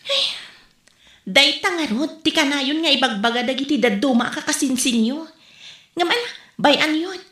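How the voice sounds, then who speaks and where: A woman's voice: high-pitched, lively intonation, moderate pace, fairly bright tone, echoey room. A woman playing a plain-spoken married village woman who frets about money in a comedy radio drama. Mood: exasperated.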